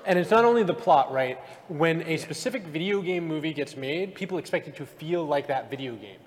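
A man with a slightly high voice speaks quickly in a casual panel discussion. His words carry the long echo of a convention hall. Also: lively intonation. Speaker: podcast panelist. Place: large convention panel room with audience.

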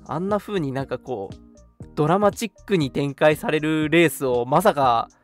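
A young man co-hosting a radio show, casually recounting things in an animated way and acting out reactions. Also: a little high, moderate pace, soft background music. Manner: animated, acts out reactions while telling stories. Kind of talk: casual chat, recounting an outing.

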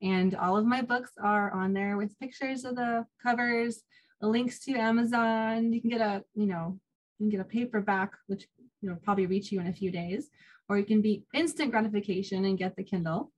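A woman's voice: mid-range voice, fairly even intonation, normal speed, highs cut off. A woman chatting informally as an author guest in an interview, given to small laughs between phrases. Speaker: guest, self-published author. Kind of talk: casual interview chat.

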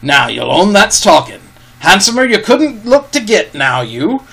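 A man voicing a gruff, peg-legged old pirate cook who bargains and threatens in rough sailor's talk. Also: high-pitched, very animated, a little slow, pitched above the performer's own voice, heavily acted. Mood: bargaining. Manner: rough sailor's dialect, loud and blustering. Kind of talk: storybook reading.

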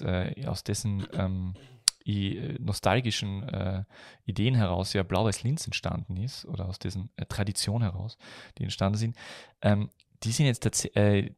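Österreichischer Podcast-Moderator mit tiefer, knarrender Stimme. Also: male voice, lowish voice, vocal fry, darkish tone, no echo, studio quality. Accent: Austrian. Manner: halting, searching for words, many hesitations. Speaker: podcast host. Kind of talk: casual football podcast chat.

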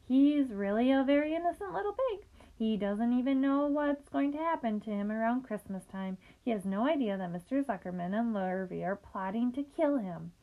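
A woman's voice: a little high, expressive, normal speed, dark tone, low-quality recording. A woman voicing a knowing, amused female farmyard goose in a storybook reading, speaking in a confiding way.

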